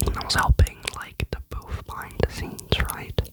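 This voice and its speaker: A vlogger telling a rambling, chatty personal story in a soft ASMR whisper. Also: normal speed. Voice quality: whispering throughout.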